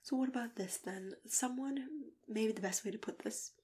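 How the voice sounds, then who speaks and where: A woman speaking softly and unhurriedly in an ASMR matchmaker roleplay, gently drifting in and out of whispers. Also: a little high, moderate pace, a little hiss or hum. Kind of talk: ASMR roleplay, soft-spoken chat with whispering. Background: computer keyboard typing and clicking.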